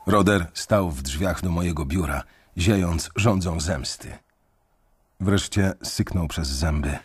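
A young man narrating a radio drama in the first person, measured and brooding. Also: sits low, breathy edge. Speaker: narrator and main character.

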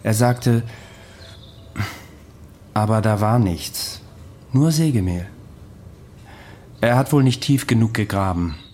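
An actor in a radio drama playing a secretive, protective watcher who tells his story in a measured, deliberate way. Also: fairly low pitch, darkish tone, slight background noise. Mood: brooding, wry.

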